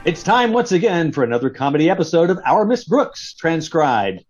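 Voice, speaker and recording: Man, old-time radio announcer, muffled lo-fi sound, animated scripted narration, higher pitch. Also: normal speed, over music. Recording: dull, lo-fi video-call audio.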